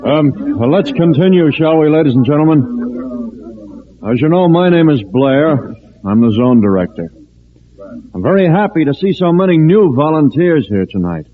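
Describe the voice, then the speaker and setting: Actor as courteous zone director, tinny old radio. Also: male voice, on the high side, lively intonation, moderate pace, a little hiss or hum, lightly acted. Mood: courteous, businesslike. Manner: courteous, businesslike chairing of a meeting. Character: polite male civil defense zone director. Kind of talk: 1950s radio drama acting.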